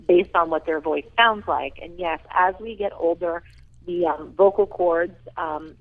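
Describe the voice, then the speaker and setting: A woman guest on a radio interview, talking about her work with earnest enthusiasm and frequent ums. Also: lowish voice. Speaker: rehabilitation director, guest. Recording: tinny phone line.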